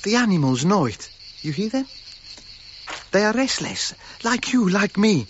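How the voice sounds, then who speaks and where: A man's voice: high voice, very animated, a little slow. An actor playing a drunk, superstitious Cretan foreman, brooding and ominous in a radio drama. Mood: haunted, ominous. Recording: dull, noisy radio drama recording.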